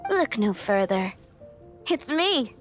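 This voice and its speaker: An actress playing a playful, self-assured young townswoman in a video game, teasing and deliberate. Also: fairly high pitch, sing-song, soft background music. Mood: teasing, confident. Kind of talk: video game character acting.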